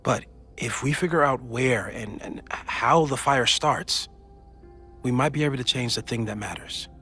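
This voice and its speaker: A man acting a geeky, reassuring physicist friend in a video game, with an American accent and a thoughtful, gently joking manner. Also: medium pitch, unhurried. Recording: music under the voice. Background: game music.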